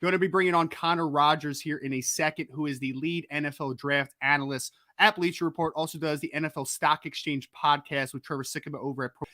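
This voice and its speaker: Bright-voiced energetic male podcast host. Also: a little high, dry recording, studio quality. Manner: energetic and chatty, with quick restarts. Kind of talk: casual live-stream podcast chat.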